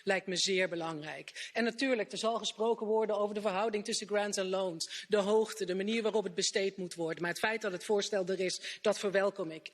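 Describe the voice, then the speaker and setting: Woman giving a parliamentary speech. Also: medium pitch. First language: Dutch. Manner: earnest, appealing for unity, firm on her concern. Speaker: member of parliament. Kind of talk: prepared floor speech. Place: parliament plenary chamber. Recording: reverberant chamber.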